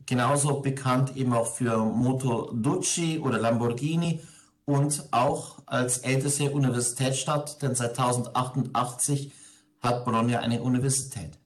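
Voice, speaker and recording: A man speaks as an interview guest, with a mid-range voice. He talks conversationally and thoughtfully, often pausing as he shapes his phrases. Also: medium pitch, steady tone. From grew up in Ingolstadt, Germany.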